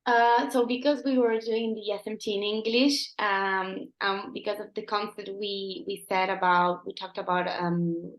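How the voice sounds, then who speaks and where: A woman's voice: medium pitch, fairly even intonation, measured pace, hardly any pauses, roomy sound, rough recording. A teenage woman co-hosting a podcast, chatting casually with frequent "like" and "um", and reading a sonnet aloud. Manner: uses "like" and "um" often. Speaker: podcast co-host. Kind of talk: casual chat and poetry reading.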